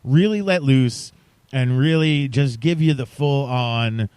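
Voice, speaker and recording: A man's voice: mid-range voice, slow pace, a little mellow, clear, resonant tone, no room sound. Male podcast host, mid-range voice, casual and chatty with playful emphasis, dry studio-close sound. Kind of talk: casual podcast chat addressed to listeners.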